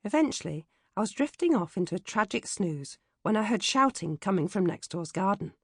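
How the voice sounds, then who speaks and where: A British woman narrates a comic audiobook with droll expression. Her pitch is middling but moves around a great deal, and the recording is close and dry. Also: animated, brisk, breathy edge, dry recording. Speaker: author reading own audiobook.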